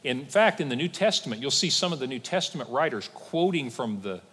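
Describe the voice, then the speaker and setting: A pastor teaching from the pulpit, his slightly husky voice rising and falling with lively emphasis. He explains patiently, dropping in dry asides, and the sound rings with long reverb from a church hall. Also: medium pitch, a little fast. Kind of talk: teaching sermon. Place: church sanctuary.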